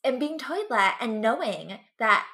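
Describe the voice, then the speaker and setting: A woman's voice: on the high side, sing-song, a little slow, sharp tone. A young woman speaking earnestly and reflectively in a casual podcast conversation, often checking in with "right?" and "like". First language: English. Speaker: guest.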